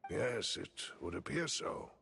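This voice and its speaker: Gravelly, courteous gentleman attendant. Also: fairly low pitch, very animated, slight background noise, full character voice. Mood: courteous, composed. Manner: courteous, measured. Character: dutiful, formal male attendant to a young lady. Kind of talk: video game voice acting.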